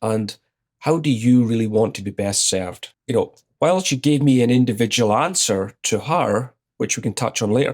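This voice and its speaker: A man in his fifties with a Scottish accent, an executive guest relaxedly telling an anecdote in an interview. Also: lowish voice. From Scotland. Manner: relaxed anecdotal, retells his own exchanges. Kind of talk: conversational interview storytelling.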